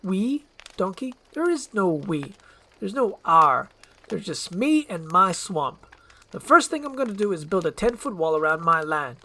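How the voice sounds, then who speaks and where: A performer voicing a gruff, grumpy, defensive male ogre in an acted screenplay reading. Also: mid-range voice, big pitch swings, unhurried, bright, forceful tone, pitched above the performer's own voice, full character voice. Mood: irritated, defensive.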